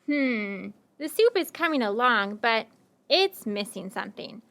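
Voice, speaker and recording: Puppet show vagabond, played by a woman: high-pitched, swooping delivery, theatrical and deliberate, a friendly coaxer. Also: very animated, creaky, fairly bright tone, full character voice. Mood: musing, hinting. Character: friendly, tired, hungry wandering stranger puppet, cleverly coaxing. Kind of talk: children's puppet show acting.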